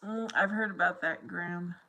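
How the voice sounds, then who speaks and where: A woman's voice: fairly low pitch, fairly even intonation, normal speed, sharp tone. A young adult woman with a very young-sounding voice, chatting casually with viewers on a livestream, reading their comments and laughing often. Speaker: livestream host reading chat. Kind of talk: casual live chat with viewers.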